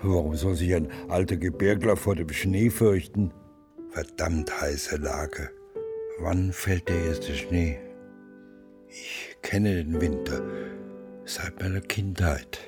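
Gruff old mountain man in a radio play, deep n slow, proud, grieving, won't budge. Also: music in the background. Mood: gruff, defiant. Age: older. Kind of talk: radio drama acting.

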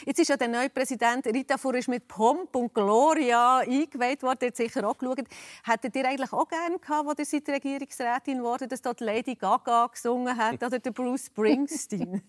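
A woman with a Swiss German accent hosting a radio talk show in a lively, friendly manner. Her voice sits a little high. The studio sound is dry and close. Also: brisk, no room sound. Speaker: radio host. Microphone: studio microphone.

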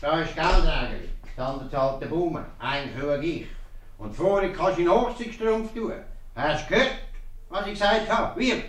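Blunt mocking pub guest, really high voice, swiss accent, echoey old radio play. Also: male voice, lively intonation, normal speed, fairly bright tone. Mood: indignant, blunt. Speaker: actor. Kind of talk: 1950s radio comedy acting. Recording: roomy, distant old radio recording.

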